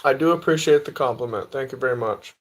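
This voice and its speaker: A man in his early twenties casually chatting on a live stream, reading out and answering viewer questions in a relaxed, easygoing way. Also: medium pitch, quick pace. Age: 20s. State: tired. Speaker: livestreamer reading chat.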